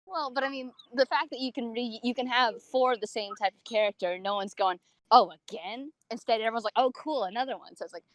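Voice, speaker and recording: A woman's voice: a little high, brisk, no echo, rough recording. A woman in a casual podcast chat, teasing and acting out imagined quotes as she makes her point.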